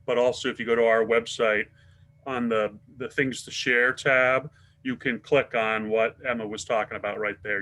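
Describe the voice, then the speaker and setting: A man leading a worship group, making halting announcements with repeated words on a video call. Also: medium pitch, pushed and bright. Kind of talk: casual announcements.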